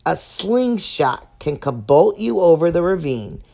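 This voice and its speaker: A woman's voice: fairly low pitch, very animated, measured pace, vocal fry, warm tone, exaggerated voice. Woman doing a thoughtful storybook lady spider. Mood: helpful. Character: thoughtful, helpful female spider weaver.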